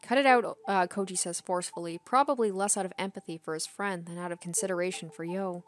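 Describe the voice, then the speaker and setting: A woman's voice: on the low side, fast talker, a little hiss or hum. A woman streaming a game, reading visual novel narration aloud with casual asides. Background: soft game music.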